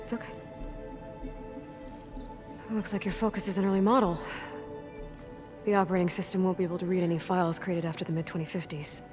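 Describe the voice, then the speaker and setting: A woman's voice: fairly low pitch, level tone, a little fast, pauses often, over music, close to an everyday voice. Actress as a practical, determined young adventurer woman. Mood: matter-of-fact. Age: young adult. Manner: plain, direct, matter-of-fact. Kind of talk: video game dramatic acting.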